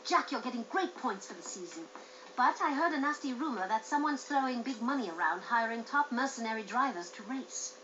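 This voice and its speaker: An earnest female racing teammate, with a bright, fairly high voice. She sounds urgent in game cutscene style, over faint noise. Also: animated, a little fast, muffled recording. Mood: urgent warning.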